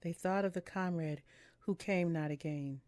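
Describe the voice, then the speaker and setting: A woman's voice: lowish voice, normal speed, darkish tone, no echo. A woman giving casual let's-play commentary and reading game text aloud in her own voice, with music behind her. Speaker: game streamer reading visual novel dialogue aloud.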